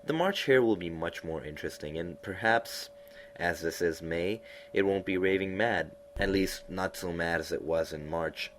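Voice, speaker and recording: A man's voice: deep voice, moderate pace, a little hiss or hum, natural voice. Earnest, curious young girl, put on by a male narrator for a storybook. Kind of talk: storybook reading.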